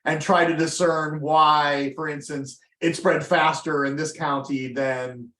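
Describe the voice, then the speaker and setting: Slightly high-voiced male researcher, chatty explainer, roomy echo. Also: fairly even intonation, unhurried, pushed and bright. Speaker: seminar presenter, disease researcher. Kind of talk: academic discussion.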